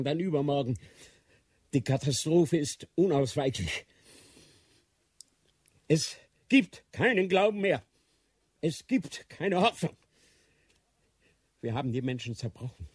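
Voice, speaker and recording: Slow, husky aged Inquisitor. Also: male voice, mid-range voice, a little mellow, no room sound. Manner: solemn, halting, declamatory, rising to outcries. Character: aged, ailing Grand Inquisitor, remorseful, fervent, commanding. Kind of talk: German radio drama acting.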